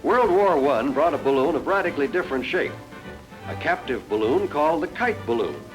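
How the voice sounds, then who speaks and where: Guy doing old 1940s instructional film narration, formal, animated intonation, bit of music behind. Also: fairly high pitch, a little fast, low-quality recording. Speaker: narrator of a military training film. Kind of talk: 1940s scripted educational narration.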